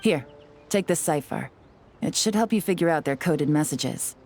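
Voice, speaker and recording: A woman voicing a poised, sly female spy who talks with smooth, teasing politeness in a video game. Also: deep voice, lively intonation, normal speed, a little mellow, a little hiss or hum.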